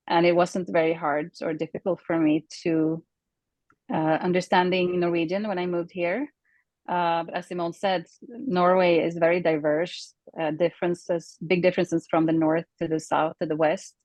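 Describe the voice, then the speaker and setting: A Swedish woman speaks English in a fairly low voice, and the sound is dry and close. She talks casually over a video call. She pauses often while she searches for the right words. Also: level tone, a little mellow, no echo. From Sweden. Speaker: interview guest.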